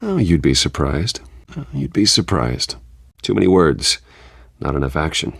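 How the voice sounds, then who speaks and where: A man playing a suave, charming billionaire socialite in a video game, with a smooth, easygoing, flirtatious manner. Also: very deep voice, big pitch swings, normal speed, a bit bright, no echo, studio quality, full character voice. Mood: playful, charming. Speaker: game voice actor. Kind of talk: polished game dialogue acting.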